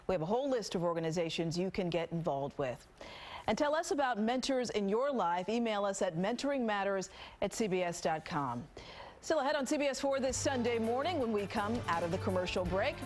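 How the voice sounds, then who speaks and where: A woman's voice: mid-range voice, expressive, brisk, faint music behind. A woman with an American accent reading the news as a TV anchor. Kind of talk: scripted news reading with light co-anchor banter.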